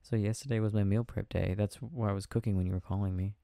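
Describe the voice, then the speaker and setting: A man playing an affectionate, tired boyfriend in an intimate ASMR roleplay, chatting softly and hesitantly with a playful, teasing manner. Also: lowish voice, fairly even intonation, fast talker, creaky, no room sound, clean studio sound. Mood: shy, affectionate. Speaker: audio roleplay performer.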